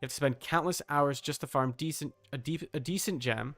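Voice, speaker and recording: A man's voice: fairly high pitch, a little fast, a bit bright, no echo. A man chatting casually and swearing as he livestreams while setting up a game character. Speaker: streamer.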